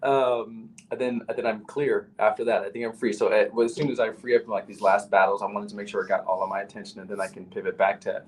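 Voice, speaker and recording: A man's voice: fairly low pitch, little pitch movement, fast, hardly any pauses, slight background noise. A man with a nasal voice, a battle rapper talking casually as a podcast guest, full of "you know" and "like".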